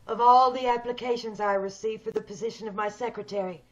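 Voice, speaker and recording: A woman playing a sinister, hideous schemer in an old-time radio horror play, speaking with measured, coolly polite menace. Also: a little high, steady tone, natural voice. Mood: controlled, calculating. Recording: dull video-call radio play recording.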